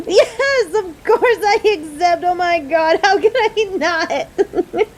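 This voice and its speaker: A woman's voice: very high voice, expressive, slow pace, heavily acted. A woman playing a caring, motherly female coworker who frets over the person close to her, earnest and affectionate in a romantic audio roleplay. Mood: overjoyed, tearful. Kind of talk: scripted romantic audio roleplay spoken to the listener.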